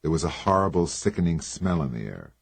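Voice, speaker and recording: A man's voice: extremely low pitch, sing-song, fairly quick, vocal fry, husky, no room sound. A man narrating a hardboiled detective story in first person, laconic and wry. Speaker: audiobook narrator.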